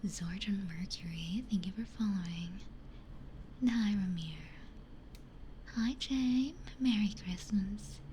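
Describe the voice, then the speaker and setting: A woman streams ASMR in a soft, mostly unvoiced whisper. She muses conversationally as she talks to her chat, pausing between short phrases. The binaural mic is very close, with noticeable ambient noise behind her. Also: mid-range voice. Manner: hushed, unhurried conversational musing with pauses. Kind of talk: ASMR, chatting with live chat. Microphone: close binaural ASMR microphone.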